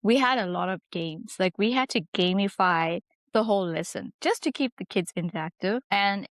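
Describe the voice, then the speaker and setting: A young South African woman speaking in a casual interview, chatty and relaxed, leaning on fillers as she talks. Her voice sits at a middle pitch and the sound is dry and close, with no room echo. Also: normal speed. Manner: chatty, laughs easily, many "like" fillers. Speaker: guest, English teacher.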